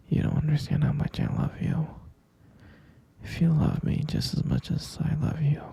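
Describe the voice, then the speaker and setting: A man playing a possessive, lovesick kidnapper in an intimate ASMR roleplay, coaxing softly in a breathy half-whisper and repeating himself. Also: a little high, heavily acted. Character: obsessive lovesick male kidnapper, soothing and possessive yandere.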